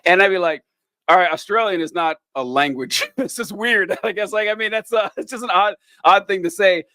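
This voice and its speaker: A man's voice: sits high, fairly quick, bright, forceful tone, no room sound. A man hosting a podcast in casual chat, rambling with lots of fillers and casual swearing. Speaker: podcast host.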